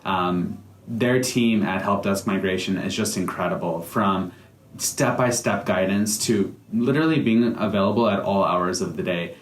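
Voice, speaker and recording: A man's voice: deep voice, moderate pace. A man from the United States, a company founder giving a customer testimonial, speaking earnestly with many "uh" and "um" fillers. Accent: American. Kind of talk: testimonial to camera, semi-prepared speech. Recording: echoey room.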